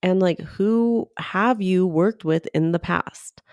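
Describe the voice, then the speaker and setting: A woman's voice: fairly low pitch, slow pace, a little mellow, no room sound, studio quality. A woman co-hosting a podcast, giving advice in a conversational, explanatory way that leans on "like" and "right?". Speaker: podcast co-host.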